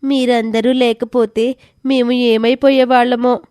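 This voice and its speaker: A woman's voice: a little high, flat delivery, a little slow, darkish tone, dry recording, studio quality, close to an everyday voice. A woman voicing a polite, earnest, reserved village girl in a scripted moral story. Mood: polite, modest.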